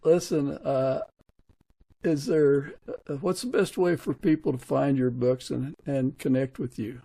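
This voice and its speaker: A man's voice: mid-range voice, measured pace, vocal fry, warm tone, no room sound. A man speaking as the host of an interview podcast. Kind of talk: casual interview hosting.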